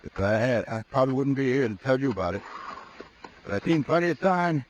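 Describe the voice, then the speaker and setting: An actor playing a grim, hard-riding cowboy in a 1950s radio drama, speaking in a terse, low voice. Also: male voice, lively intonation, a little fast, slight background noise, natural voice. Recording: muffled old radio recording.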